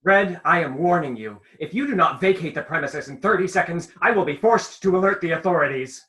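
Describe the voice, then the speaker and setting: A woman's voice: lowish voice, lively intonation, brisk, fairly bright tone. A woman playing a flustered, officious fairy tale narrator in a comedic radio play, exasperated and pompous. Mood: indignant. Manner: exasperated, hesitant when pleading, pompous when commanding.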